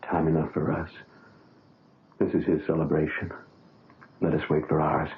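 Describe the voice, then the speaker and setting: An actor playing an intense young pianist who speaks in halting, earnest stammers in a 1970s radio drama, on a tinny lo-fi broadcast. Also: male voice, a bass voice, flat delivery, slight background noise. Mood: tender.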